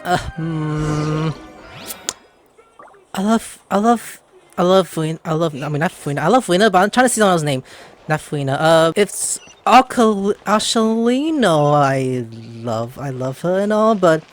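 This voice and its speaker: A man's voice: a little high, expressive, measured pace, faint music behind. A man giving casual gameplay commentary, playful and rambling, often stumbling over names. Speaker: gaming video host.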